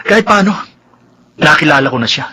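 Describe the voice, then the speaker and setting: An earnest, accusing young brother in a Filipino radio drama. The voice is fairly high, husky and forceful, with a Filipino accent. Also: male voice, expressive, brisk, exaggerated voice.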